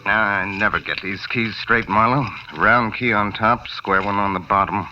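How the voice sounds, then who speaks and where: A distant, muffled old radio recording of a man playing a nightclub boss in a noir drama. The voice is low. He sounds smooth and chummy on the surface, guarded and insinuating underneath. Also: moderate pace, a little hiss or hum, lightly acted. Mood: falsely genial. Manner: insinuating, falsely casual, chummy. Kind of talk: 1950s radio noir acting.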